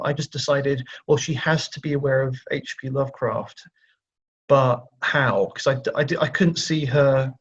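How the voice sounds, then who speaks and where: A man's voice: mid-range voice, slightly rough, lo-fi sound. A man giving casual, chatty interview answers as a writer, thinking aloud and often correcting himself as he goes. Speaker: interview guest, audio drama writer.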